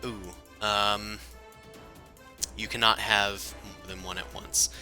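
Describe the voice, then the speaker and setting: A man narrating as game master in a tabletop game, chatty and relaxed with many fillers. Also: on the low side, slow, soft background music.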